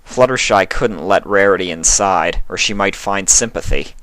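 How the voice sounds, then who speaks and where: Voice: deep voice, moderate pace, a bit bright, dry recording. Story narrator in a dry, close recording. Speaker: narrator, fan fiction reader. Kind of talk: scripted story narration with character voices.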